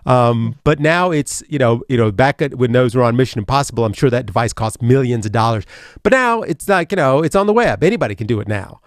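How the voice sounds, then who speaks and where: A man's voice: medium pitch, animated, a little fast, no echo, clean studio sound. A man hosting a radio talk show in casual chat, rambling with many fillers and restarts. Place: radio studio.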